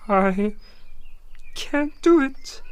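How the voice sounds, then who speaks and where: A man's voice: extremely high pitch, expressive, very slow, clear, resonant tone, heavily acted. A man voicing a grieving, tender male bird in a fantasy audio drama. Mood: grieving, tearful.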